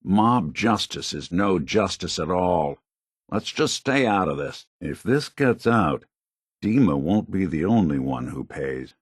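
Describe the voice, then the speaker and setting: A low, husky American voice for a middle-aged synthetic private eye in a video game. He is world-weary yet principled, with a hard-boiled, earnest manner and very expressive swings in pitch. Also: unhurried, no room sound, clean studio sound, full character voice. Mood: cautioning. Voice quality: gravelly, husky. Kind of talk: noir video game character dialogue.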